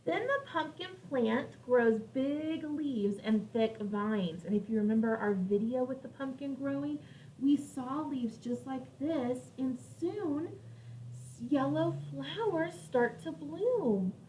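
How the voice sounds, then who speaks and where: High-voiced woman teaching young children. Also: slow, dark tone. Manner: child-directed, simple wording with questions to listeners. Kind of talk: read-aloud lesson for young children. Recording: distant microphone with faint background noise.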